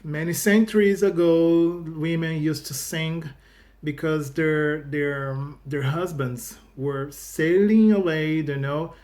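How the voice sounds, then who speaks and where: A man's voice: on the high side, fairly even intonation, slow pace, a little mellow. A Brazilian man whose first language is Portuguese, hosting a casual reaction video in English with a Brazilian accent. He often restarts phrases and fills gaps with "you know.". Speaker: YouTube reaction host. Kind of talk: casual video chat to viewers.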